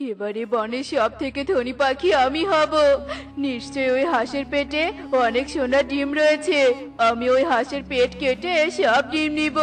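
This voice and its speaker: A woman's voice: sits high, natural voice. A woman voicing a sly, greedy crow in a children's cartoon, with theatrical delivery. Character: sly crow, friendly on top, greedy and scheming. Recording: music behind the voice.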